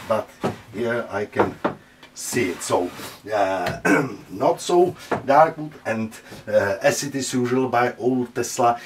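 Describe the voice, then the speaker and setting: Slow halting man with a Czech accent. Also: mid-range voice, noisy background. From Czech Republic. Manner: halting, searching for English words, many "uh" fillers. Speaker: channel host. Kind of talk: casual hands-on speaker demo. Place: room with speakers on a table.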